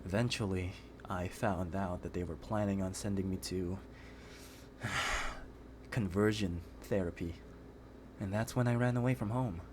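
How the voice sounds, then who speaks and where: A man acting a shy, guarded young guy with a fairly low voice. He speaks earnestly and with restraint, as in an intimate audio roleplay. There is noise behind the voice. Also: fairly even intonation, a little slow, long pauses. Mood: somber. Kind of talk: scripted ASMR roleplay acting. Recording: clearly noisy background.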